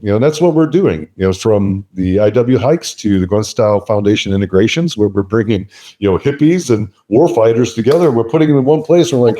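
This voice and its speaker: A man talking as an interview guest, emphatic and blunt, swearing freely in casual talk. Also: on the low side. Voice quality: loud voice. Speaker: podcast guest, veteran business leader.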